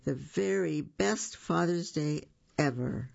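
A storybook reader voicing a gentle, fond bear father. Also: mid-range voice, takes it slowly, gravelly, highs cut off, exaggerated voice. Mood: tender.

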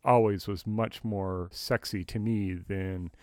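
A man co-hosting a podcast, chatting casually in a measured, explanatory way. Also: lowish voice, fairly even intonation, a little mellow, dry recording, studio quality. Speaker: podcast co-host. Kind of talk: casual chat.

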